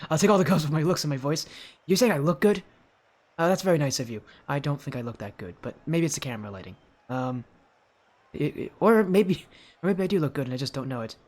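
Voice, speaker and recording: A teenage boy with a high-pitched, youthful voice, chatting with his live stream audience and casting a video game match, loud and excited on goals. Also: brisk. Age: teens. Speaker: esports caster. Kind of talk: live stream chat and play-by-play commentary.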